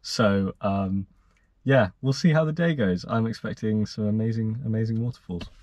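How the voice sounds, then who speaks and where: A man's voice: fairly low pitch, moderate pace, darkish tone, dry recording, highs cut off. A young man chatting to camera in a casual, upbeat travel vlog, recorded inside a parked car with a dashboard camera. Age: young adult. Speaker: vlogger.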